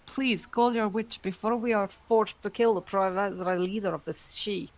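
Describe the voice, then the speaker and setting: A woman's voice: medium pitch, steady tone, measured pace, close to an everyday voice. A woman voicing a composed ancient vampire. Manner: composed, formal. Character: ancient calm female vampire. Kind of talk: amateur online table read.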